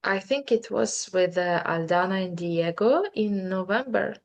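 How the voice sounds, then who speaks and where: A woman in her twenties with a Ukrainian accent talks as a guest in a relaxed interview. She is a native Ukrainian speaker who pauses, restarts and reaches for her words, her pitch sitting in a middle range. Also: highs cut off. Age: 20s. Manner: thoughtful, hesitant, many fillers and restarts. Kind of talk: casual interview chat.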